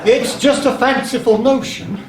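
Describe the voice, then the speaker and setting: Guy acting as a solemn flustered sea god in a stage comedy, talks slow, roomy far-off recording. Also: very high-pitched, crowd noise. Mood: defensive. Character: grave, flustered sovereign sea god Neptune. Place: small playhouse stage, live performance. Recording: distant echoey theater recording, faint noise.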